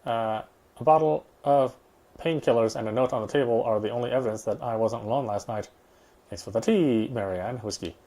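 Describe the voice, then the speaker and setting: A man's voice: medium pitch, fairly quick, warm tone. A man reading visual novel narration aloud, sometimes stumbling and going back over phrases. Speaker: game streamer reading aloud.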